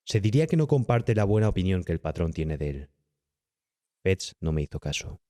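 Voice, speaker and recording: A man's voice: deep voice, very animated, moderate pace, mellow, no echo. A man with a Castilian Spanish accent reading a literary horror tale as an audiobook narrator, close to the microphone. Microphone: close, dry studio-style microphone.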